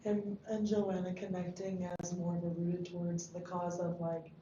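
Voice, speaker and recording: A woman with a lowish voice talks informally in a group discussion, feeling out her points in loose phrasing. The room rings around her, and the recording is muffled with clear background noise. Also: completely flat delivery, normal speed, roomy sound. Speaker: table read participant giving script feedback.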